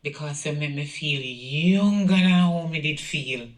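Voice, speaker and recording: A man's voice: on the high side, measured pace, no room sound, natural voice. A man with a Jamaican accent playing a brazen middle-aged mother in a domestic drama, insistent and repeating the same phrases. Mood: tender, regretful. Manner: repetitive, insistent.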